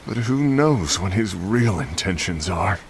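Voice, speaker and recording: A man's voice: low voice, expressive, measured pace, a little hiss or hum. An actor voicing a blunt, resentful male warrior in a video game cutscene. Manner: frank, bitter. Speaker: game character.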